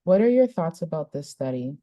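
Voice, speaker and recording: A young woman hosts a podcast interview, reading out study summaries in a measured way and then asking friendly questions. Her voice sits low for a woman, with a dark, mellow tone. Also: sing-song. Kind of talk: podcast interview, partly read from a script.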